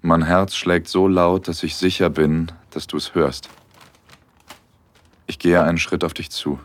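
A very deep young male voice from an actor carries an intimate romantic audio drama. He is smitten and slightly shy, softly addressing the woman he longs for as both narrator and character. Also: flat delivery, a little slow. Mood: tender longing. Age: young adult.

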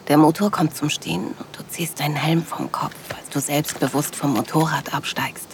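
A woman playing a loving, sensual middle-aged wife, narrating an intimate audio drama in a soft, dreamy manner. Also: low-pitched, fairly even intonation, moderate pace, background noise. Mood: tender, excited.

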